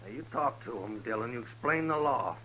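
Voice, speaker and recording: An actor playing a vengeful, menacing outlaw gang leader in a 1950s radio Western. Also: male voice, mid-range voice, expressive, a little fast, sharp tone, slight background noise, poor audio. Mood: menacing, demanding. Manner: threatening, rural Western drawl talk. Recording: old 1950s radio recording.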